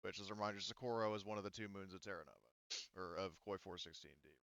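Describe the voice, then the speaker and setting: A man's voice: low-pitched, gravelly, fairly bright tone, dry recording, muffled recording. A man running a tabletop game as game master, talking casually and often stopping to correct himself as he narrates. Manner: halting, self-correcting.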